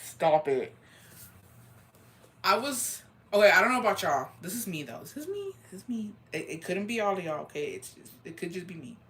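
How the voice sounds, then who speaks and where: A deep-voiced woman from Texas chatting casually and gossiping on a livestream, slangy and loose, dropping in Spanish phrases. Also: big pitch swings, normal speed, a bit bright, a little hiss or hum. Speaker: livestreamer reading viewer comments.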